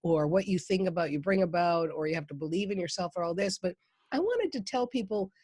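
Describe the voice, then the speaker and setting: A woman's voice: on the low side, animated, fairly quick, darkish tone, husky, no room sound, studio quality. A woman from Minnesota with a Minnesota accent, a guest on a podcast interview, talking with enthusiasm and laughing often. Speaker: guest, mindset coach.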